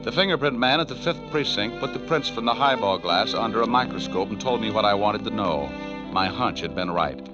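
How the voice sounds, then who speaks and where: An actor playing a tough, wisecracking private detective in a 1940s radio drama, with an American accent and a wry, clipped delivery. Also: male voice, on the low side, expressive, a little fast, natural voice. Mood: hard-boiled, wry. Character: tough wisecracking male private detective narrating his case. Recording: muffled old 1940s radio recording, music behind.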